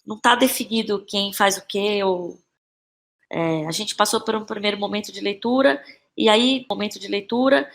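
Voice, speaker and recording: A woman with a Brazilian accent and a mid-pitched voice talks in a casual, reflective interview style. She feels her way through sentences, repeating phrases and often checking in with short tag questions. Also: fairly bright tone. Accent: Brazilian Portuguese. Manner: halting, searching for words, repeats phrases, frequent tag questions. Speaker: playwright and actress, podcast guest.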